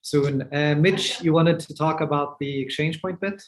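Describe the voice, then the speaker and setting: A man's voice: fairly high pitch, fairly even intonation, moderate pace. A man moderating an online panel, handing over between speakers. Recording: noisy video call audio with clicks.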